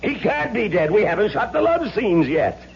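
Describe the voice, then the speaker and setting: An actor playing a pompous, fussy, self-absorbed film director in florid, theatrical 1970s radio drama style. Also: male voice, sits high, big pitch swings, normal speed, vocal fry, full character voice. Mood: whining panic. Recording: muffled, hissy old radio recording.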